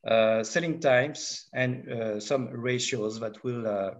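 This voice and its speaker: A man giving a webinar presentation on market statistics. He speaks haltingly and fills nearly every pause with "uh". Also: mid-range voice, steady tone, gravelly, highs cut off. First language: French. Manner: halting, with constant filler pauses. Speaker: market analysis director presenting.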